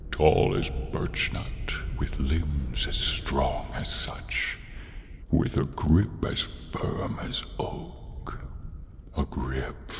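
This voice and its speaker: A man playing a tall horned demon in an ASMR roleplay, breathy and gravelly, menacing and theatrical with a comic edge. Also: a bass voice, a little hiss or hum, exaggerated voice. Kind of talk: ASMR roleplay, slow dramatic delivery.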